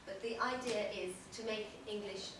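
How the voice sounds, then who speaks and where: Woman presenting in an echoey hall. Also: mid-range voice. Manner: measured, steady delivery with frequent hesitations. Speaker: conference presenter. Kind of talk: conference presentation. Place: large conference hall. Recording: echoey, noisy hall from far off.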